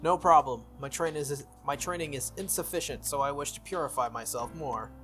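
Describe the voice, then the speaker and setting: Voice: medium pitch, a little fast, a bit bright, faint music behind, lightly acted. A game player voicing an earnest, stern teenage boy who is student council president, formal and scolding. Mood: serious, troubled.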